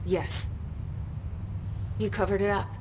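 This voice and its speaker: Actress as a guarded young American woman, unhurried. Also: mid-range voice, flat delivery, background noise, close to an everyday voice. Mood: guarded, confiding. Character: guarded, determined young woman searching for her brother. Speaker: video game protagonist. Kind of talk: naturalistic game dialogue and inner monologue.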